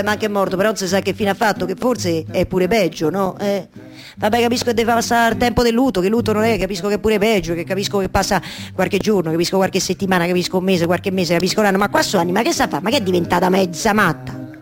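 A woman's voice: mid-range voice, natural voice. A woman voicing nosy, scornful village women in a theatrical monologue, with nagging questions and a Roman accent. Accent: central Italian, Roman. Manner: nagging rhetorical questions, repetitive. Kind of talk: theatrical monologue in colloquial dialect.

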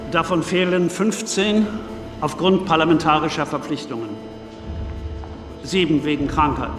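An actor playing an assembly president in a radio drama, making a formal, deliberate proclamation. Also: male voice, a little high, lightly acted. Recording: distant echoing hall, music behind.

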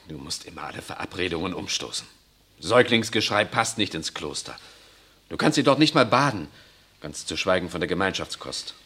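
A man's voice: low voice, lively intonation, fairly quick, bright, forceful tone, husky, full character voice. An actor playing an irritable, pessimistic, bookish husband and father in a 1960s radio drama, gloomy and curt.

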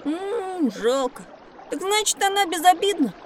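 A woman playing a curious, mischievous young boy in a children's educational audio drama, teasing and excitable. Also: very high-pitched, measured pace, noisy background. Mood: eager.

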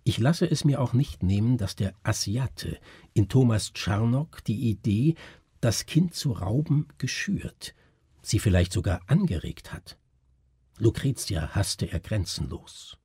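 A grave, educated doctor, acted by a male narrator from a literary tale. He speaks formally and broodingly, with a very husky, mellow voice that stays close and dry. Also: fairly low pitch, animated, unhurried, no room sound, full character voice. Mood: suspicious, intent.

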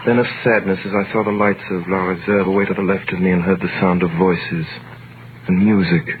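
An actor playing an earnest, trusting young sailor, deferential and anxious, in an old radio drama. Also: male voice, deep voice, level tone, fairly quick, lightly acted. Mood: somber narrating. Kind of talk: 1930s radio drama acting with first-person narration. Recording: tinny vintage radio broadcast, clear hiss.

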